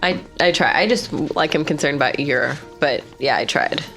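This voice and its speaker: A woman's voice: low-pitched, normal speed, fairly bright tone, soft background music. A woman playing a tabletop roleplaying game, chatting casually as her character.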